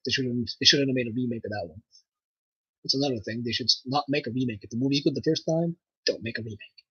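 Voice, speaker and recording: Husky French Canadian man chatting on stream. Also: mid-range voice, fast talker, dry recording, dull audio. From Nova Scotia, Canada. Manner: rambling, relaxed, with frequent fillers. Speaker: livestream host. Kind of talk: casual livestream chat.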